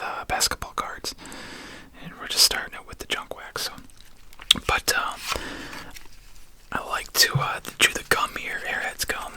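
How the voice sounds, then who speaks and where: A video host whispering casually in an unvoiced ASMR style, with halting, conversational delivery while showing trading cards. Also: sharp tone. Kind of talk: whispered ASMR card-opening chat.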